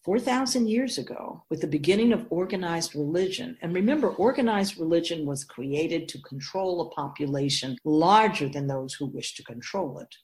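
A woman voicing a wise, ancient spirit who keeps souls, teaching patiently and deliberately in a scripted radio drama. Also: lowish voice, lively intonation, darkish tone, natural voice. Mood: solemn, instructive. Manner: measured, deliberate, didactic, solemn.

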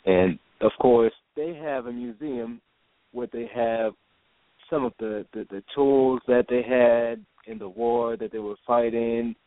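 A man from Jamaica, a guest in an interview, talking haltingly with many restarts. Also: medium pitch, no echo. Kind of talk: casual interview talk. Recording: phone line, dry.

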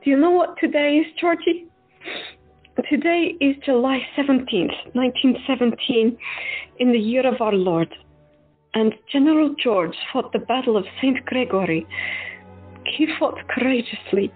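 A woman plays a compassionate doctor in an audio drama, speaking softly and earnestly. Her voice sits fairly high and is a little husky. The sound is tinny and phone-like, with faint music behind. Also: normal speed. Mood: tender. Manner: soft, earnest, reassuring. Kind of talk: amateur audio drama acting.

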